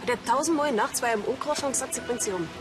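Actress as curt, defiant designer over music. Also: female voice, on the high side, very fast, lightly acted. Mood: defensive. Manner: curt, defensive. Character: defiant female designer under police suspicion.

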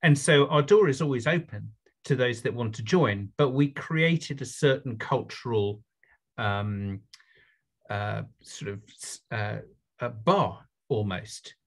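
A man's voice: mid-range voice, takes it slowly. A middle-aged man speaking as a panelist on a video call through a headset, casual, with slang and fillers. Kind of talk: online panel discussion.

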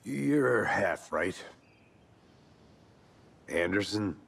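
Very deep, gravelly, deadpan American agent. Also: male voice, extremely slow, full character voice. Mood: dry, terse. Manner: terse, deadpan. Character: gruff, dry, world-weary male FBI agent. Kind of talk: video game dialogue acting.

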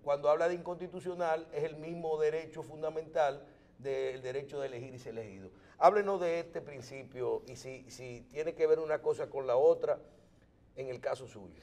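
Halting, higher-pitched Dominican male interviewer. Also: warm tone. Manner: halting, roundabout phrasing with repeated words. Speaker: interviewer on a political talk show. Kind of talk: political interview questioning.

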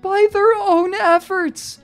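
Frantic robot for an audiobook, shrieky high voice, pleading and anguished. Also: male voice, level tone, takes it slowly, soft background music, exaggerated voice. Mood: distressed, insistent. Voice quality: shrill.

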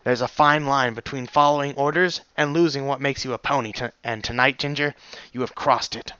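A man doing a gruff old unicorn stallion. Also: a little high, moderate pace, bright, forceful tone, no echo. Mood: scolding. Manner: gruff, stern and scolding. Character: older male unicorn stallion leader, gruff and commanding. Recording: dull lo-fi dry recording.

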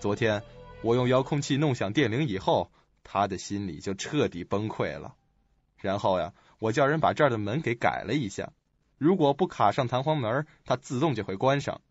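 A man's voice: medium pitch, lively intonation, normal speed, husky, no room sound, muffled recording. A man playing a scheming, calculating doctor with a sly manner in a suspense radio play. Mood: smug, scheming. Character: scheming, smug, suspicious male doctor. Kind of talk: audio suspense drama acting. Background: music.

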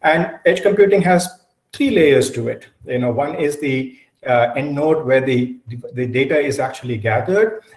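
A middle-aged man giving an explanatory online conference presentation, pausing often with hesitations. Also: medium pitch, darkish tone. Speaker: keynote speaker, technology executive. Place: indoor room, on a video call. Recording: roomy, echoey video-call audio.

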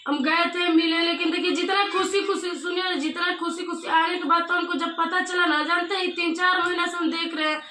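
A woman's voice: very high voice, fairly even intonation, fairly quick, few pauses, sharp tone. A woman in her thirties with an eastern Hindi-belt accent makes an insistent complaint on a phone call, repeating her points, recorded in a small room. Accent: eastern Hindi belt (Bihar/eastern UP). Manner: insistent, repetitive, remonstrating. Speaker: vlogger. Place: small bedroom indoors. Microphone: camera a short distance away. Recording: echoey small room, faint noise, clicks.

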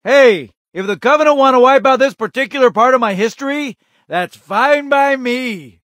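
An actor playing a gutsy but anxious young Marine soldier in a video game, with strained, clipped military delivery. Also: male voice, very high-pitched, moderate pace, fairly bright tone, dry recording. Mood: cocky, spirited. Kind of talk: video game combat voice lines.

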